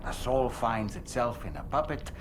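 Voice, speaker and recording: An actor playing a calm, measured man who muses philosophically in a video game cutscene. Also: mid-range voice, background noise, lightly acted. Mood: musing.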